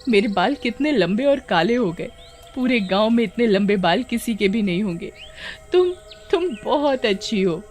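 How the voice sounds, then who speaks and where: A woman voicing a sensitive, naive village girl with plaintive, expressive delivery in an animated story. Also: fairly high pitch, moderate pace, soft background music, natural voice. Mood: excited.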